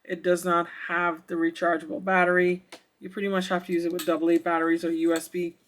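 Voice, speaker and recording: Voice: medium pitch, monotone, fast talker, fairly bright tone. A reviewer giving a casual product demonstration, thinking aloud in a hesitant way. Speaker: radio reviewer.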